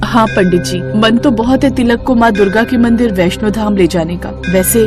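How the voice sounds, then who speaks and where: A woman's voice: medium pitch, normal speed, over music, close to an everyday voice. A woman voicing a devout, long-suffering young mother in a moral story, earnestly. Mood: happy, devout.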